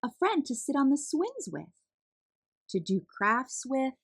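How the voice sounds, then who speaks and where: A woman reading a children's picture book aloud as an expressive narrator. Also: sits high, measured pace, no room sound, clean studio sound. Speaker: children's story reader, channel host.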